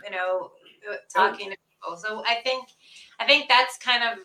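A woman's voice: medium pitch, moderate pace, sharp tone. A woman from Canada, a guest author on a podcast, chatting casually over a video call from a bomb shelter and laughing often. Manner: chatty, laughs often, imitates others' voices. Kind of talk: casual conversation. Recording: echoey room.

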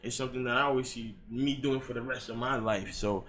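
A man's voice: mid-range voice, fairly even intonation, brisk, a bit bright. A man born in Haiti, a rapper guest on a podcast, talking in a laid-back, earnest way. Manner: laid-back, earnest, many "you know what I'm saying". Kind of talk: casual podcast chat.

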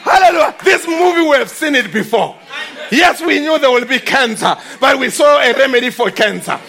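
A man's voice: very high-pitched, expressive, moderate pace, gravelly, bright, forceful tone, soft background music, a faint crowd. A man preaching a fervent, declamatory sermon in emphatic short phrases broken by exclamations.

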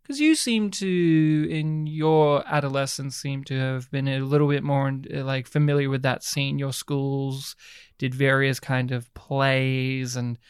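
A man's voice: mid-range voice, fairly even intonation, unhurried, clear, resonant tone, dry recording, studio quality. An Australian man co-hosting a podcast, playful and joking in rambling casual chat. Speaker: podcast co-host.

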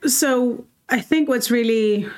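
A woman's voice: a little high, slow pace, a little mellow, clean tone. A woman, speaking as a guest in a reflective conversation, earnest and heartfelt, often restarting her sentences. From El Salvador. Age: 30s. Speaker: guest, fashion designer.

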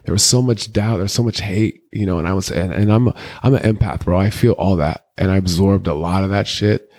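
A young man with a deep voice, talking loosely in slang as an interview guest, rambling through candid stories. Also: a little fast, darkish tone. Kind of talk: casual candid interview talk.

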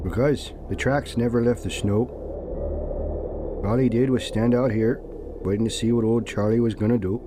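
A man's voice: mid-range voice, fairly even intonation, normal speed, background noise, close to an everyday voice. An actor playing a somber, plain-spoken, folksy man in an audio drama. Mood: grave, reflective. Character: somber, folksy man soberly explaining a tragedy.

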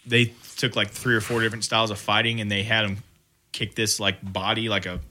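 A man co-hosting a podcast, chatting about fights and pushing back loudly in arguments. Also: on the low side, level tone, brisk. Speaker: podcast co-host. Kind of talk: casual chat about fights.

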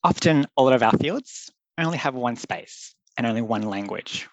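An earnest young man talks through his ideas with loose, thoughtful phrasing and the odd laugh. His voice sits at a middling pitch. The recording is dry and close, with no room sound. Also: animated, moderate pace, no room sound, poor audio. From Australia. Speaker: public health doctor, guest. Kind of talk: conversational podcast interview.

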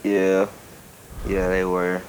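A man's voice: deep voice, completely flat delivery, slow, clean tone, low-quality recording. A man calling in over a video call, chatting casually, swearing freely and stuttering now and then. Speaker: call-in guest.

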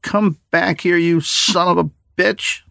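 A man's voice: high voice, steady tone, takes it slowly, gravelly, sharp tone, dry recording, clean studio sound, pitched above the performer's own voice, heavily acted. A man voicing a cocky, scheming teenage boy in a post-apocalyptic audio story, with a brash, casual way of talking. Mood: angry.

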